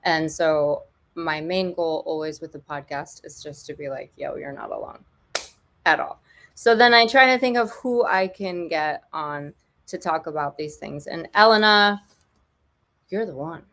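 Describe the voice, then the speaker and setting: A woman's voice: sits low, a little slow, a bit bright. A woman hosting a podcast in casual chat, chatty and joking with many fillers. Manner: chatty, filler-heavy, jokey. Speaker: podcast host.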